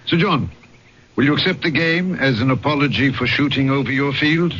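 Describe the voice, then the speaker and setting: An actor playing a shrewd, courteous Corsican police magistrate who narrates and converses in an old-time radio drama, urbane and inquisitive. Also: male voice, mid-range voice, normal speed, fairly bright tone, muffled recording, slight background noise, natural voice. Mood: polite, curious. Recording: band-limited radio drama recording with music.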